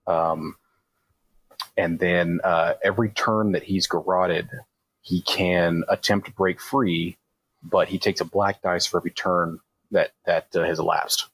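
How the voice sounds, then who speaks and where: A man's voice: low-pitched, steady tone, measured pace, fairly bright tone, slightly rough, no room sound, rough recording. A man playing a tabletop roleplaying game, casually describing moves and explaining rules step by step.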